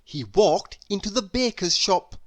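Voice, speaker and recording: A storybook narrator reading a children's tale aloud in an animated, expressive way. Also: medium pitch, a little slow. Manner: animated, expressive, with emphatic sound words. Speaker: storybook reader.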